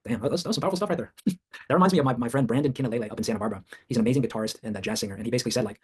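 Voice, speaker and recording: A man's voice: mid-range voice, very fast, slightly rough. A man born in China hosting a casual group workshop, enthusiastic and saying "like" a lot. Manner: enthusiastic, says "like" often. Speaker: workshop host.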